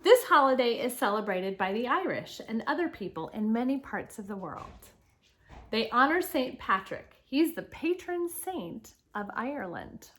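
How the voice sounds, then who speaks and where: Animated woman reading aloud to children. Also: a little high, normal speed. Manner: addresses young listeners directly, explanatory. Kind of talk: children's picture-book read-aloud with casual asides.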